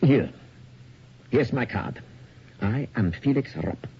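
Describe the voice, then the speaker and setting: A man's voice: mid-range voice, animated, slow pace, breathy edge, heavily acted. An actor playing an intense, courtly, fanatical male collector in a radio drama, formal, precise and insistent. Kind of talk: 1980s radio drama acting. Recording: dull, lo-fi radio recording.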